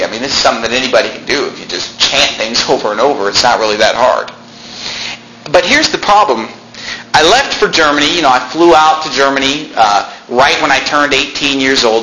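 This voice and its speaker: Man, 20s, casual testimony, conversational asides, ringing reverberant room, faint background hiss. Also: medium pitch. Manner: conversational, repeats phrases, chatty asides to the audience. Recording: roomy echo, faint noise.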